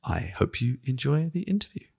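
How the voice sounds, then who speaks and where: A man's voice: medium pitch, very animated, brisk, vocal fry, darkish tone, husky. A man hosting a podcast in a conversational, musing and wry manner, often hedging as he goes. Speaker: podcast host and interviewer.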